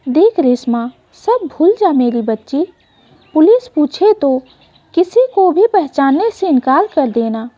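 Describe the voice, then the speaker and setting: A woman's voice: sits high, very animated, slow pace, warm tone, exaggerated voice. A woman voicing an anxious, guilt-ridden middle-aged father in an emotional story, troubled and pleading.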